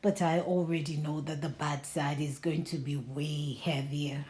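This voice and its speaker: A glum young schoolboy from a storybook, read by a woman. The delivery is slow and reluctant, with a mellow, lowish voice. He sounds downcast and grumbles about his day. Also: fairly even intonation. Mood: grumbling.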